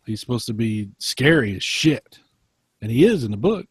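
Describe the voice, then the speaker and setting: A man's voice: medium pitch, sing-song, normal speed, slightly rough, no echo. A man co-hosting a podcast in casual chat, speaking in an enthusiastic, emphatic way. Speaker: podcast co-host.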